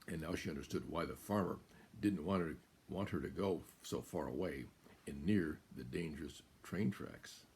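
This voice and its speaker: An American man in his seventies reading a children's picture book aloud as a guest storyteller, in a deliberate, emphatic way. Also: sits low, a little mellow, slight background noise. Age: 70s. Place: small room indoors.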